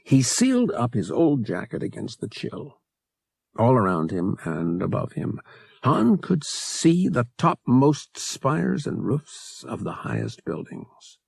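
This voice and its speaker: Slow, gravelly male audiobook narrator. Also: mid-range voice, huge pitch swings, a little mellow, no echo. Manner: deliberate phrasing with dramatic pauses. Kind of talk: dramatic scripted narration.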